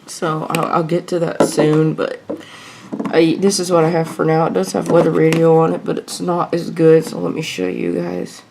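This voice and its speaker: Low, creaky-voiced woman, casual and unscripted. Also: moderate pace, heavy background noise. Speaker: video creator showing a radio collection. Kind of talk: casual unscripted show-and-tell. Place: indoors at home.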